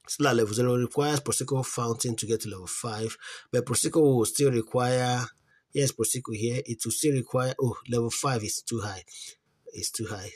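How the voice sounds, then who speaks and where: A man with a husky, somewhat breathy voice narrates a phone screen recording in a loose, unscripted way. He searches through menus out loud, stopping to restart sentences. Between searches he turns earnest and urges his viewers with repeated pleas. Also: mid-range voice. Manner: thinks aloud, trailing off and restarting while searching menus. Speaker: crypto app tutorial host. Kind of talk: casual screen-recorded walkthrough. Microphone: voice-over on a phone screen recording.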